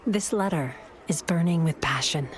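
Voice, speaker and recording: A woman's voice: sits low, normal speed, slight background noise, close to an everyday voice. A woman playing a thoughtful, idealistic adviser and companion to a hero in a video game, speaking in a reflective, composed way. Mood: thoughtful, wry. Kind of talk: dramatic game narration and dialogue.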